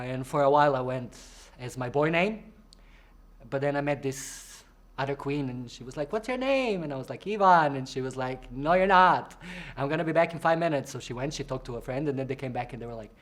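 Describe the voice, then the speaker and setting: A young man from Croatia, a drag performer, answering interview questions in a chatty, playful way, jumping into high voices to act out what other people said.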